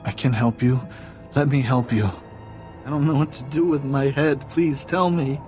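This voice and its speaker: A man playing an addled, pained slave in a video game, halting and disoriented as he rambles. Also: mid-range voice, fairly even intonation, a little mellow, faint music behind, lightly acted. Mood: confused pleading. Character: dazed, addled male slave, pained and confused. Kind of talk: video game character acting.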